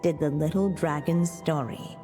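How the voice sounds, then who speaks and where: A tender witch mother telling a storybook tale in a game. Her low voice is mellow and solemn, unfolding very slowly in long reverb. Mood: tender, storytelling. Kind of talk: scripted storybook narration in video game. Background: soft music.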